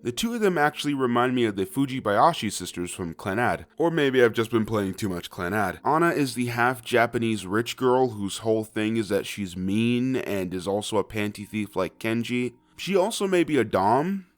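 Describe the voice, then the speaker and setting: A man's voice: mid-range voice, lively intonation, normal speed, hardly any pauses. A man narrating a scripted comedic video review, with sarcastic, profane, conversational asides.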